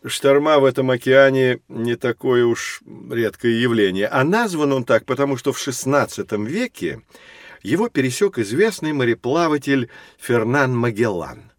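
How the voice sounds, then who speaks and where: Kindly professor played by a man, mid-pitched, very expressive, patient and emphatic teaching tone for a children's audio drama. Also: no room sound, studio quality, lightly acted. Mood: kindly correcting. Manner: explanatory, courteous, measured phrasing with emphasis.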